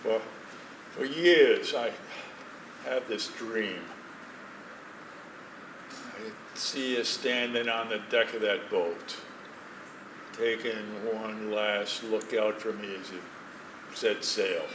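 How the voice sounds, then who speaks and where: A man playing a halting old man, echoey stage. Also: lowish voice, steady tone. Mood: wistful, hurt. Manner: halting, pleading, wistful. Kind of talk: stage play acting, dramatic dialogue. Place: stage in a theatre, distant microphone. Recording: echoey, noisy theatre recording from far off.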